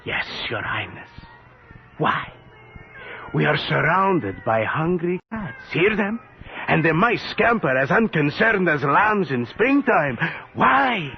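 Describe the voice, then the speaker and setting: A slightly high-voiced, defiant country priest in an old radio horror play. He speaks slowly and solemnly, pious and unafraid, with theatrical weight. The recording is dull, with noise behind it. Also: very animated, full character voice. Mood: ominous. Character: humble but defiant parish priest, pious, fearless. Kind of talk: 1980s radio horror drama acting.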